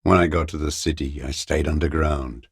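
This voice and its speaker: Very deep, gravelly old deserter. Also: male voice, steady tone, dry recording, studio quality, exaggerated voice. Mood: weary, terse. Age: older. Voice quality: gravelly, raspy, husky. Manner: slow, muttering, contemptuous delivery with terse fragments. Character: bitter old communist deserter, hiding in ruins. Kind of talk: video game character voice acting.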